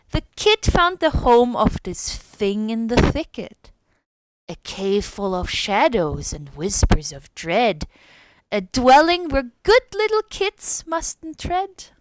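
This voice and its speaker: A woman's voice: on the high side, dry recording, rough recording. A woman voice actress narrating a storybook poem dramatically, with theatrical suspense.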